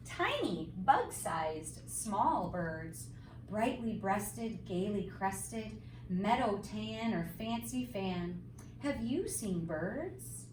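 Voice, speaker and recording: A woman reading a picture book aloud to young children like a teacher, with expressive, sing-song, emphatic phrasing and simple explanatory asides. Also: mid-range voice. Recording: roomy, distant mic, faint background noise.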